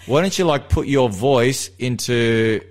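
A man's voice: mid-range voice, a little slow. Chatty Australian man on radio. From Melbourne, Australia. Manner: chatty, animated, jokey. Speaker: radio host and seminar presenter. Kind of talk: casual radio chat on faith.

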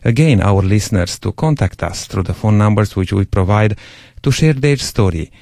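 Slow, deep-voiced male radio host. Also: animated, creaky, darkish tone, no echo, clean studio sound. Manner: slow, measured, encouraging, frequent pauses with "uh".

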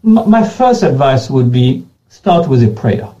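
A man's voice: mid-range voice, big pitch swings, measured pace, dark tone, highs cut off. A man whose first language is Creole, answering questions in earnest and often repeating and restarting words as he goes. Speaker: pastor being interviewed. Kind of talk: unscripted interview answers.

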